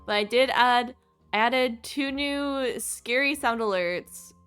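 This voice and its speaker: A woman's voice: a little high, takes it slowly, fairly bright tone, smooth, soft background music. A woman livestreaming a game with casual, giggly chat and an easily flustered manner.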